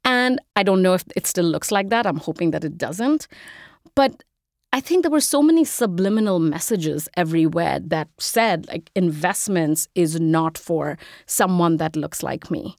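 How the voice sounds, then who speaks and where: Indian-accented woman with a lowish voice. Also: animated, moderate pace, no room sound, clean studio sound. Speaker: guest. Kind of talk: casual interview conversation.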